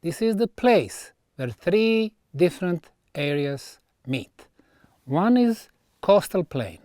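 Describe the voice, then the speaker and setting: Slow, expressive man presenting, non-native English. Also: high-pitched, dry recording, clean studio sound. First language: not English. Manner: deliberate phrasing with emphatic pauses. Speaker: researcher and presenter. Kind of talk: educational talk on archaeology, delivered on site.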